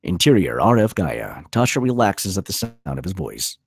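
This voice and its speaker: A man's voice: sits low, very animated, normal speed, gravelly. A man narrating a screenplay at a table read, reading out scene headings and stage directions.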